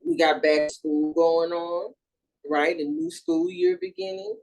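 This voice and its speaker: A woman's voice: lowish voice, wildly swooping pitch, vocal fry, darkish tone, poor audio. Earnest woman therapist giving a talk. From Houston, Texas. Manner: earnest and measured, with fillers and self-corrections. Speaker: grief and trauma therapist. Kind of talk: recorded educational presentation.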